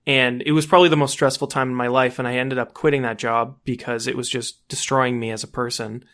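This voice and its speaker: A man co-hosting a podcast, chatting casually in a halting way and often repeating words as he goes. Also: mid-range voice, level tone, fairly bright tone. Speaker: podcast co-host. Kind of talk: casual chat.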